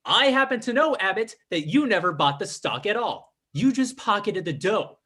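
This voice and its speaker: An actor playing a scheming, defiant fake psychic in a live old-time radio drama, with a theatrical, formal delivery. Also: male voice, sits high, sing-song, moderate pace, sharp tone, husky, heavily acted. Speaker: stage actor in radio play.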